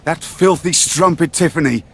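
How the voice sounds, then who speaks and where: A man's voice: fairly high pitch, moderate pace, breathy edge. A man with a British accent playing a haughty, snobbish wrestler villain in a video game, speaking formally and pompously. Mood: indignant disdain. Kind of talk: scripted wrestling game cutscene acting.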